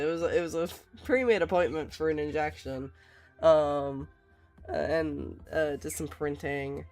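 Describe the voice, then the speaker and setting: Woman streamer, hoarse and raspy, gravelly voice, laughs a lot, faint music behind. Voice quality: raspy, lower than usual. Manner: laughs often, reassuring, rambling. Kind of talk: casual chat while drawing.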